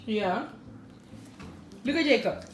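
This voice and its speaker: A woman's voice: on the high side, expressive, slow pace, a little hiss or hum. A woman in her thirties chatting to her phone camera in a casual family vlog, rambling with lots of fillers, first in a kitchen and then in a car. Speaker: family vlogger, mother of three. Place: home kitchen, then inside a parked car. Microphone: phone camera held at arm's length.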